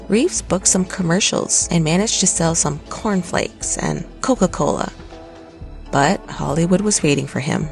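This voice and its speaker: A narrator reading a scripted documentary in a steady, even way. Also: medium pitch, vocal fry. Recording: light music underneath.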